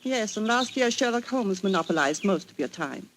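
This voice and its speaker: A woman's voice: mid-range voice, animated, normal speed, close to an everyday voice. A woman playing a fond, teasing young English wife, genteel and gushing, with a British accent in 1940s radio style. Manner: genteel, gushing, gently chiding. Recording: noisy vintage 1940s radio recording.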